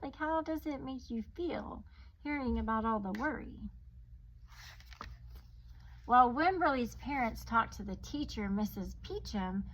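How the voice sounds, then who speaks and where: Teacher lady reading a kids book out loud, highish voice, stretches words, quiet little asides, bit of background noise. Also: low-quality recording. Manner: expressive reading, often drawing words out, with hushed asides. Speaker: teacher reading a storybook to families. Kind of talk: children's read-aloud with chatty asides.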